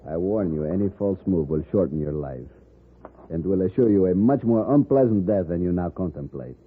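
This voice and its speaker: An actor playing a suave, menacing gentleman villain in a radio western, speaking formally and deliberately with cool threat. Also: sits low, expressive. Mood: quiet menace. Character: suave, menacing Mexican gentleman villain, scheming and coldly confident. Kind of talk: old-time radio western acting. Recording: muffled, noisy old radio recording.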